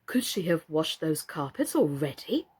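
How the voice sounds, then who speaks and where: An anxious father doll from a children's storybook, voiced by a woman reader. He is earnest and fretful, with a slow, swooping delivery. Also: low-pitched, big pitch swings, darkish tone, husky, voice pushed down, heavily acted. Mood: suspicious.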